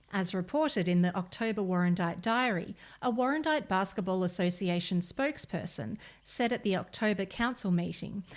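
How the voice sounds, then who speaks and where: A woman with an Australian accent reads a scripted local news article as a volunteer narrator. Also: mid-range voice.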